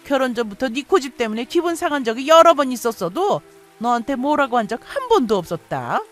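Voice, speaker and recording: Woman acting a shrill-pitched, domineering mother-in-law. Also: sing-song, brisk, faint music behind. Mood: scolding, aggrieved. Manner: scolding, accusatory rhetorical questions. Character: domineering, indignant middle-aged future mother-in-law. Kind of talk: radio story drama acting.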